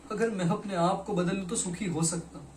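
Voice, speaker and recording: A man performing a theatrical monologue as a broken, regretful poet, speaking dramatically and deliberately with weighted pauses. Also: sits high, steady tone, darkish tone, close to an everyday voice. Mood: agitated, bitter. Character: broken, regretful poet confessing to his lost beloved. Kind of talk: theatrical Hindi stage monologue. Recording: echoey room, distant mic, faint noise.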